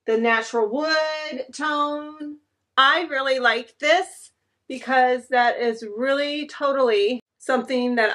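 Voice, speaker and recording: Bright-voiced woman gushing in an unboxing. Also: high voice. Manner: chatty and enthusiastic, gushing over items. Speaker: home decor vlogger. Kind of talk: casual unboxing chat to camera. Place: living room at home, indoors. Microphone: camera across the room.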